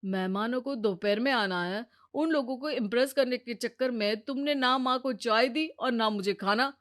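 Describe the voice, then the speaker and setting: A woman's voice: a little high, moderate pace, fairly bright tone, no room sound, clean studio sound, close to an everyday voice. A woman plays a young husband in a moral-story cartoon. He sounds fed up and complains with pointed sarcasm. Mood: sarcastic, annoyed.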